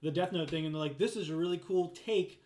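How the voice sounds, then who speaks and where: A man's voice: a little high, fast talker, a little mellow. A man co-hosting a casual podcast panel, giving opinionated explanations in a conversational way. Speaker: podcast co-host discussing gaming news. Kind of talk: casual panel chat about pop culture. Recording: echoey room.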